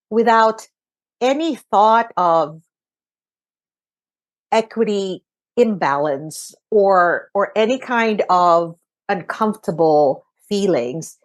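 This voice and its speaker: Woman in her sixties, low-ish voice. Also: a little mellow. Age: 60s. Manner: thoughtful, earnest, encouraging. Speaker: podcast host. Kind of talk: conversational podcast answers.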